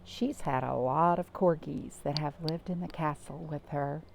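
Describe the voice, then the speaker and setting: A woman's voice: low-pitched, a little slow, gravelly, slight background noise. A woman hosting a children's storytime, chatting and reading aloud, often putting simple questions to her young listeners. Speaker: library storytime host and reader. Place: at home, in a cottage.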